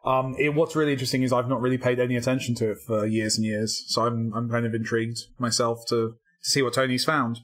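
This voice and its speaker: Male co-host, mid-pitched voice, wry and halting. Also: steady tone, fairly bright tone. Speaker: podcast co-host. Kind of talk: casual podcast chat.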